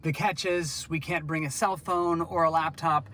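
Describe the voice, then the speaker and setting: A male news reporter narrating an investigative report in a measured, earnest way. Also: on the high side, fairly even intonation, sharp tone, slight background noise.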